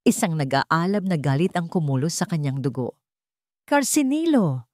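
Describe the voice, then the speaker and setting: A woman's voice: deep voice, fairly quick, a little mellow, no room sound, clean studio sound. A woman with a Filipino accent reading a dramatic scripted story as its narrator.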